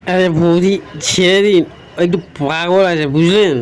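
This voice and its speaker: A man's voice: high-pitched, steady tone, normal speed, clean tone, natural voice. A man voicing a foolish, complaining drunkard in a comic cartoon dub, coarse and pleading. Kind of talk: comic Bengali cartoon dubbing.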